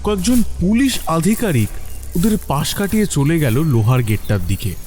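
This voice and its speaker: A man's voice: on the high side, sing-song, normal speed, a little mellow, faint music behind. A man reading scripted narration for an audio story.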